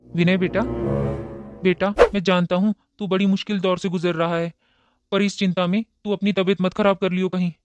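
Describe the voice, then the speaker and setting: A man voicing a kind, worried middle-aged father in a cartoon, speaking in a gentle, coaxing, steady manner. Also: sits high, normal speed, lo-fi sound, music in the background, close to an everyday voice. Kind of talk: Hindi cartoon dubbing.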